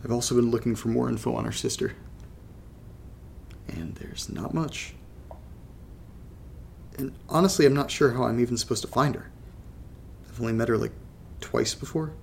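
Horror audio drama lead: male actor as brooding, anxious young American podcaster, gravelly, casual and halting, faint rumble underneath. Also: darkish tone, natural voice. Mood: frustrated, resigned.

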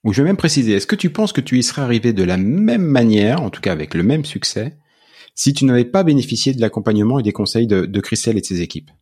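A man hosting a podcast interview, asking measured, probing questions. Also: mid-range voice, sing-song, darkish tone, breathy edge. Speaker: podcast host.

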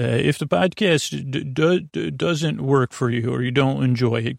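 Man with a creaky voice, rambling softly silly. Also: medium pitch, no echo, studio quality. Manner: meandering, halting, with drawn-out words and self-interrupting asides; gently silly, whimsical. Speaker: podcast host. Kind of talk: rambling bedtime podcast monologue to help listeners sleep.

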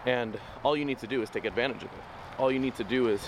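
A man's voice: medium pitch, fast. A man hosting a walking vlog, losing his voice, talking in a casual, rambling, upbeat motivational way. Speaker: vlogger host. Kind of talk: walking talk to camera, motivational. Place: outdoors on a city street. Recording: noisy outdoor street recording.

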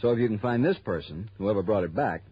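Businesslike male insurance man, husky, emphatic, suspicious, dull vintage radio recording. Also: on the low side, fast talker, dry recording. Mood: urgent, curious. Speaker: supporting actor. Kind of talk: 1960s radio drama acting.

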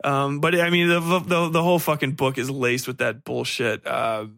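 A man's voice: medium pitch, fairly even intonation, moderate pace, vocal fry, fairly bright tone, no echo, studio quality. A man hosting a book podcast, rambling through a casual review in blunt, irreverent, profanity-heavy slang. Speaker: podcast host reviewing books.

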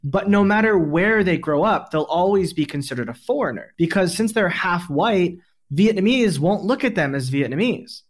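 A man with a somewhat high voice hosts a casual podcast. He explains ideas in a thoughtful, reflective way. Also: expressive, normal speed, few pauses. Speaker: podcast host.

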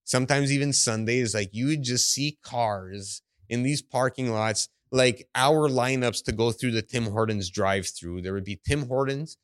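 A man's voice: medium pitch, moderate pace, few pauses, dry recording, studio quality. A man from Ontario telling stories in a casual podcast chat, rambling with lots of fillers and laughs. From Woodbridge, Ontario, Canada. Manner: rambling, full of "like" and restarts, laughing often. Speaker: comedian guest.

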